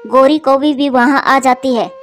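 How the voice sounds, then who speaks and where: A woman's voice: high voice, steady tone, a little slow, faint music behind. A woman narrating a scripted cartoon story for children.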